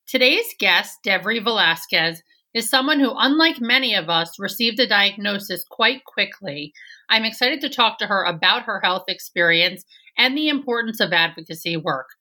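A woman's voice: medium pitch, animated, normal speed, sharp tone. A woman from New York hosting a podcast interview, upbeat and enthusiastic. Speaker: podcast host.

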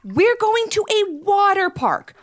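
Woman doing a little boy in a kids story, impatient, high pitched, super animated. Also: measured pace, slight background noise, heavily acted. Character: impatient young boy, eager big or little brother.

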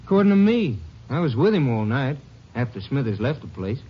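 An actor playing a crooked small-town deputy in a 1950s radio drama, blunt and defensive, with a Texan accent. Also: male voice, medium pitch, very animated, brisk, breathy edge. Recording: muffled old radio recording with faint hiss.

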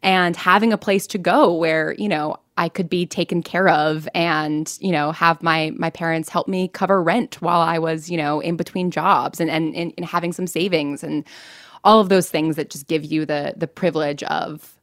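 A woman's voice: deep voice, steady tone, moderate pace, fairly bright tone, dry recording, studio quality. A young American woman telling her own story casually in an interview, chatty and self-deprecating. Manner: chatty, self-deprecating, laughs while talking. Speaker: podcast creator and actor interviewed.

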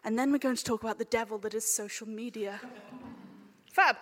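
A woman hosting a live panel in an informal, jokey, excitable and irreverent way. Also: on the high side, fairly quick. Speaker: panel chair. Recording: echoey hall with long reverb.

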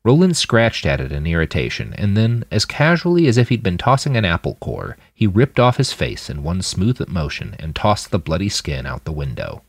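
A man's voice: deep voice, sing-song, normal speed, hardly any pauses, breathy edge, dry recording. A man reading a story aloud as scripted audiobook narration. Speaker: author reading his fiction podcast.

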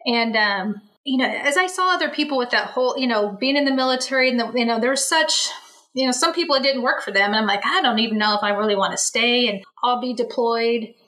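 A woman in her forties from the Bay Area speaks with a bright, forceful voice. She tells a long story in a rambling, chatty way. She often restarts her sentences and adds asides. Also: a little high, a little fast. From San Francisco Bay Area. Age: 40s. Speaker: interview guest, Navy veteran. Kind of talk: casual storytelling.

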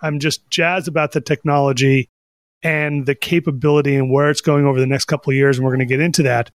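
A man's voice: mid-range voice, little pitch movement, fast talker, no room sound, studio quality. A man hosting a podcast interview, enthusiastic and casual, dropping in "like" as he talks. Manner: enthusiastic, casual, uses fillers like "like". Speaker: podcast host.

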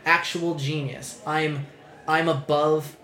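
A man's voice: on the high side, fairly even intonation, takes it slowly, sharp tone. Young man, podcast host, rambling, swearing, restarts, echoey room. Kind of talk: casual podcast chat.

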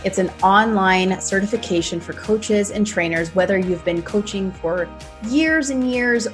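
A woman hosting a podcast, talking in an encouraging, conversational way and often saying "like". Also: mid-range voice. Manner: warm, encouraging, uses "like" a lot. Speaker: podcast host. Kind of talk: casual conversation and scripted outro.

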